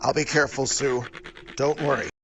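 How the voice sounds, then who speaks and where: An actor playing a brilliant, calm male scientist and team leader, speaking in a measured, formal, precise way. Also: mid-range voice, lo-fi sound, a little hiss or hum, lightly acted. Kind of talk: audio drama acting. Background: loud score music.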